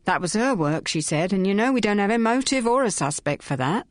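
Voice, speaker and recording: A woman voicing a frightened young male constable who speaks in a halting, pleading way. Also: medium pitch, animated, no room sound, close to an everyday voice. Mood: fearful.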